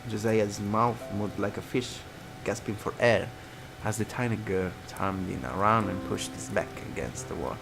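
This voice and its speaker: A man's voice: on the low side, normal speed, creaky, few pauses, rough recording. A man reading a visual novel aloud as a let's player, narrating dramatically and dropping into casual asides. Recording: guitar music behind.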